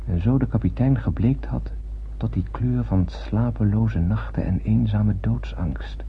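A young male sailor of the stars, earnest and poetic, with a lowish voice. The recording is muffled, with a noticeable hum behind him. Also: a little slow. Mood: awed, brooding. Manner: earnest, poetic, literary delivery. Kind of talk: radio drama acting. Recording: muffled radio play, clear background hum.

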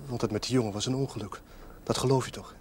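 An actor playing an embittered, lovesick young male outcast in a television drama, with a slow, brooding, softly taunting, theatrical delivery. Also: mid-range voice, steady tone, lightly acted. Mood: menacing longing. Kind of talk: 1970s Dutch television drama acting. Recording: noisy old television soundtrack.

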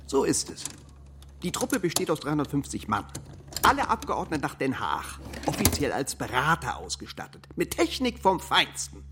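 A man's voice: fairly high pitch, wildly swooping pitch, normal speed, noisy background. An actor playing a man who briefs the group on a secret strike force in a radio crime play and turns sharply suspicious. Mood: matter-of-fact. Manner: matter-of-fact, then sharply suspicious. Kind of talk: radio drama acting.

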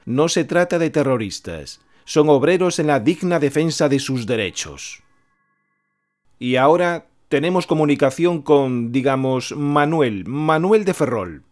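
An earnest exile radio newsreader with a Spanish accent. He reads the news in a steady broadcast manner for a radio play. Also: a little high, a little slow. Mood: grave. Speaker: clandestine radio announcer. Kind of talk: radio drama acting, newsreader delivery.

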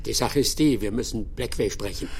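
An actor playing a calm, sly, unflappable rural man in an audio drama, dryly polite and teasing. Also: lowish voice, brisk, a little hiss or hum, natural voice. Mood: deadpan teasing.

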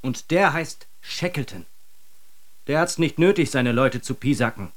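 An actor playing a steadfast, protective sailor with a firm, reproving manner in a German radio drama. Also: male voice, medium pitch, lively intonation, measured pace, lightly acted.

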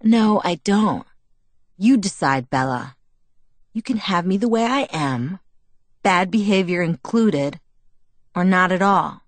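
A woman's voice: fairly low pitch, expressive, slow, long pauses, dry recording. A woman voicing a stubborn, confident teenage boy in an audiobook reading, speaking in a strong, sure way. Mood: teasing, stubborn. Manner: strong, sure, insistent delivery.

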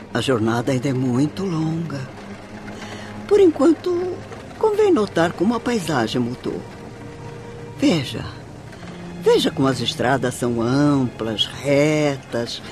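A pious spirit caretaker in a radio drama. His voice sits fairly high and is gentle, formal and soothing, with music clearly underneath.

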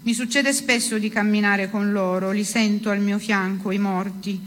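A woman's voice: mid-range voice, steady tone, moderate pace, slight background noise. A woman giving a dramatic literary reading of first-person prose. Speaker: reader of a literary text.

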